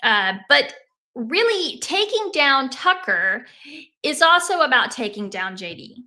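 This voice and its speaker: Bright casual woman co-host. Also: high-pitched, very animated, slow. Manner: casual, many fillers like "you know" and "like". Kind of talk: livestream political discussion.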